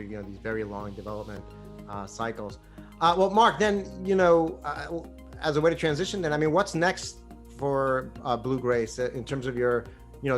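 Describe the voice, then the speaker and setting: A man moderating a business discussion in a conversational way, with halting phrasing and frequently repeated words. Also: mid-range voice. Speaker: webinar moderator.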